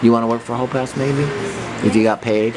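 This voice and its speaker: A woman's voice: a bass voice, steady tone, fairly quick, music in the background, rough recording. A woman in her fifties, a charity organizer chatting with teenagers, teasing them and often trailing off and restarting her questions. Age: 50s. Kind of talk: casual chat, asking questions.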